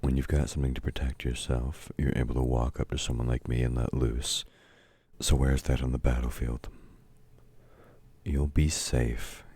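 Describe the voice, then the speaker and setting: An extremely deep, dark male villain played by a man speaks close and dry, as in an intimate audio drama. He is domineering and gruff, taunting his listener in a husky, commanding way. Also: flat delivery, moderate pace, dry recording, heavily acted. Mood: scornful, then gruff reassurance. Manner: gruff, mocking, commanding, addressing a meek listener. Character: domineering, taunting male villain, grudging temporary ally of heroes. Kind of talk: intimate audio roleplay, scripted dramatic acting.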